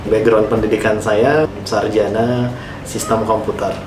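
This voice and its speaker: A man from Bali, giving earnest, measured explanations as a founder being interviewed. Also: fairly low pitch, level tone, background noise. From Bali, Indonesia. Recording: roomy echo with music behind.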